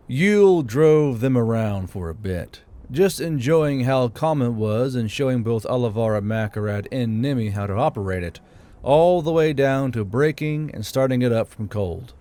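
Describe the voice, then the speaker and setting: A man's voice: mid-range voice, darkish tone. A man reading scripted fantasy narration as an audiobook narrator. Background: background music.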